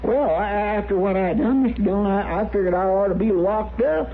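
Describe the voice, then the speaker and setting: Meek, simple Western sidekick: high voice, country American drawl, stammering, deferential. Dull 1950s radio sound. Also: male voice, fairly quick, slight background noise. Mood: nervous, sheepish. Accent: rural American drawl. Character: meek, flustered, simple-hearted frontier deputy's helper in trouble.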